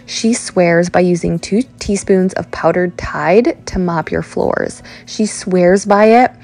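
A woman's voice: lowish voice, creaky. A woman in her thirties chatting casually about cleaning her home, with lots of ums and likes. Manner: hushed, quick talk while kids nap. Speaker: cleaning vlogger. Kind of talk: casual voice-over chat. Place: home, indoors. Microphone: handheld camera at arm's length.

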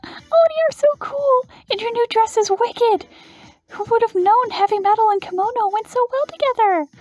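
A woman voicing an excitable little sparrow, a gushing idol fan in a fantasy game. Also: very high voice, normal speed, no room sound, soft background music, pitched above the performer's own voice. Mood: ecstatic. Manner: squealing, gushing.

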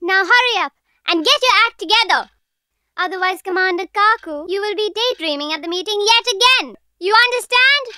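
A woman's voice: very high-pitched, expressive, a little fast, sharp tone, full character voice. A woman voicing a bossy cartoon rabbit wife, scolding and sarcastic. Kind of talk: animated cartoon character acting.

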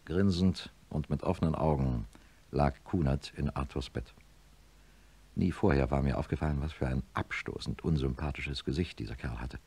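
Very deep, husky male surgeon narrating. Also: mellow, exaggerated voice. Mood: shaken. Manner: measured, matter-of-fact. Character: sober male neurosurgeon professor recounting his experiment. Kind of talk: first-person radio drama narration.

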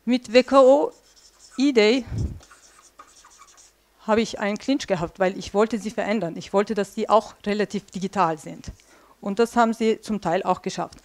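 A woman's voice: medium pitch, moderate pace, pauses often, darkish tone. A woman in her forties from Turkey, speaking, giving an informal conference talk in a small room on a headset mic, with many filler sounds, drawing on a flip chart as she speaks. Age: 40s. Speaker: speaker at a tech policy evening. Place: small dim event room indoors.

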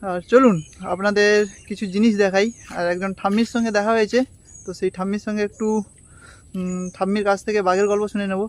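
A man's voice: sits high, flat delivery, quick pace, dry recording. A man with a West Bengal accent casually presenting a vlog outdoors on a riverbank. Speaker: vlog host.

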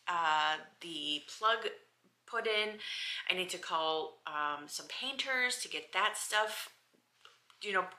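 A woman's voice: on the low side, pushed and bright. A woman in her forties vlogging casually from her bedroom at home, chatty and rambling with lots of ums and restarts. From Alabama, USA. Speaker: lifestyle vlogger. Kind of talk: casual chat to camera.

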